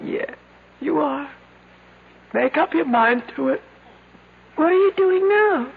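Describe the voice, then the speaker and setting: A woman's voice: high-pitched, animated, slow pace, creaky, exaggerated voice. An actress playing a weak, despairing young woman trapped and fatalistic, speaking faintly in old-time radio drama style. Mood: bitter resignation. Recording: muffled lo-fi old radio broadcast.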